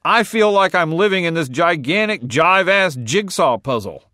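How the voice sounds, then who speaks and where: A man's voice: high voice, animated, normal speed, sharp tone, no echo, studio quality, voice pushed up. A man reading the part of a blunt, aggrieved grown man in a storybook reading. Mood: exasperated.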